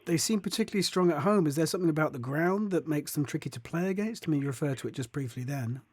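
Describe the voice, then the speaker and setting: Male sports interviewer, somewhat higher-pitched, conversational and halting, recorded dry. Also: darkish tone, slightly rough, no room sound. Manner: halting, tentative questioning.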